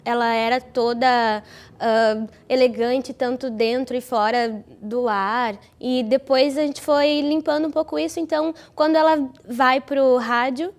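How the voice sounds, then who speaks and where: A woman's voice: sits high, fairly even intonation, measured pace. A young woman, an actor talking informally in an interview.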